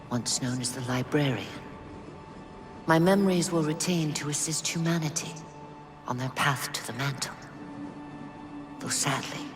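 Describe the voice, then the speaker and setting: An actress playing an ancient female alien guardian spirit who speaks in a calm, solemn, soft-spoken way. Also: low voice. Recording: game cutscene with ominous score.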